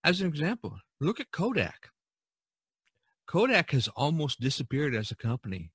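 Male podcast host, middle-aged, bright forceful tone, close dry sound, emphatic conversational delivery. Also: medium pitch, no echo.

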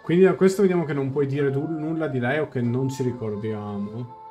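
A young man talks through a game on stream in a soft-edged, mellow voice. He is reflective and explanatory, chatting casually at a medium pitch, with light game music behind him. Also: brisk. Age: young adult. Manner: reflective, explanatory, thinking aloud. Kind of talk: live reaction commentary and translation, casual.

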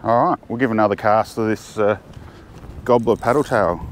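A man's voice: lowish voice, moderate pace, noisy background. A middle-aged Australian man chatting casually to camera while fishing from a jetty, thinking aloud and restarting his sentences. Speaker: fishing vlogger. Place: outdoors on a windy jetty.

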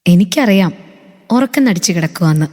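A woman's voice: fairly low pitch, animated, normal speed, warm tone. Woman voicing a teasing ghostly wife. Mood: playful. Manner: teasing, lilting. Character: late wife's spirit, playful, coaxing, affectionate. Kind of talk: radio drama acting. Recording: long reverb with music.

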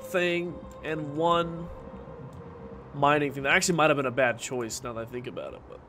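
A man's voice: on the high side, moderate pace, bright, forceful tone. A young man with a headset giving casual commentary on a city-building game he plays, sniffling a little from a cold. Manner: chatty, talks to himself while building. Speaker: gaming streamer. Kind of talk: casual let's-play commentary. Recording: game music playing behind.

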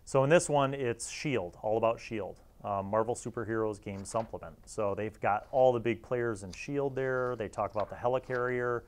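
A man's voice: mid-range voice, moderate pace, few pauses. A man in his forties hosting a casual, rambling review to camera, speaking as an enthusiastic, nostalgic fan. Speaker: host of a retro tabletop gaming review series. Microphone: lapel mic.

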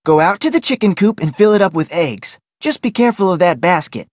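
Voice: mid-range voice, big pitch swings, fairly quick, darkish tone, no echo, studio quality. An actor voicing a folksy ranch cook in a mystery video game, with colloquial Western talk. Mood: chipper, helpful. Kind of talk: video game character voice lines.

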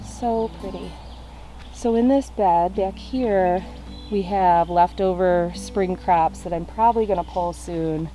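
A woman's voice: fairly low pitch, slow, dark tone, faint music behind, poor audio. A young woman casually walking through her backyard vegetable garden outdoors, chatting as she points out plants to a handheld camera. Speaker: gardening vlogger.

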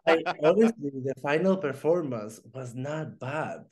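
Animated man chatting over a video call. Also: a little high. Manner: animated, quick bursts of speech with fillers and laughs. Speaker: co-host. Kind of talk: casual chat on a video call. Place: home room with plants.

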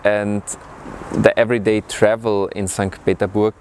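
A young man with an Austrian accent speaking to camera outdoors about his studies, often pausing to find his words. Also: lowish voice, flat delivery, darkish tone, a little hiss or hum. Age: young adult. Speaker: international student. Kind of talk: testimonial to camera. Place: outdoors in a city courtyard. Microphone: lapel mic.

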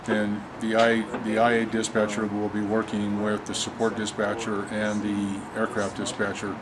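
A man in his fifties explains his workplace in a matter-of-fact, halting way. His voice is on the low side and very flat in melody. He is recorded in an office with clear air-conditioning noise behind him. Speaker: fire staff officer. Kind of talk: informal on-camera explanation of a workplace. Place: busy open dispatch office, indoors.

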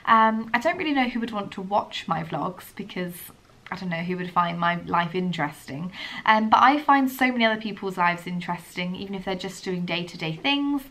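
A woman's voice: mid-range voice, animated, fairly quick, fairly bright tone. Chatty young woman with a stuffy cold. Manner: chatty, a little unsure, many "um"s. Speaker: vlogger, young mum. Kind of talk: casual chat to camera. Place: living room at home, indoors. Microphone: camera on a table in front of her.

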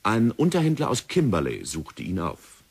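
A man's voice: fairly low pitch, wildly swooping pitch, moderate pace, breathy edge. A man narrating an old radio play in a scripted storytelling manner.

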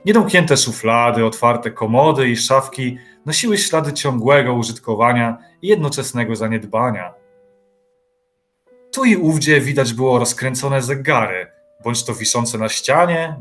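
Guy narrating a literary radio play, mid-range voice, soft music underneath. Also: medium pitch, animated, normal speed. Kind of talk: scripted literary narration for an audio drama. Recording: music behind the voice.

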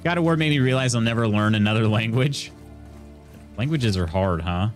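A man's voice: lowish voice, lively intonation, fairly quick. Midwestern streamer dude in his 30s, chatty, game music faint underneath, close mic at home. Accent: Midwestern American, Indiana. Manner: chatty, reacting to game while reading chat. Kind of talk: casual gameplay commentary and chat. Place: small room at home, indoors. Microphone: studio mic on arm near face.

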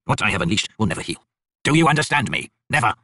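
A man voicing an arrogant, bitter tyrant High King with a raspy, theatrical, scornful delivery in an audiobook. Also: sing-song, fast talker, pushed and bright, dry recording, clean studio sound, exaggerated voice. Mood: bitter, manic.

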